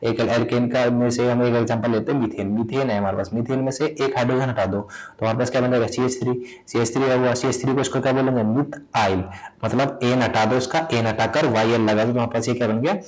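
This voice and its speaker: A man from India teaching a chemistry lesson in Hindi. He has a sore throat and clears it often. He keeps asking questions and answering them himself, and repeats each point. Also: medium pitch, fairly even intonation, quick pace, darkish tone, poor audio. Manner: repetitive, asks and answers own questions. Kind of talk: explaining a lesson.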